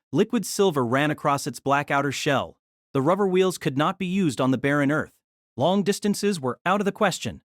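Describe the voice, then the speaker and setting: Guy narrating an audio drama, really animated delivery, dead dry mic. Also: no room sound. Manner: expressive storytelling with lively pitch.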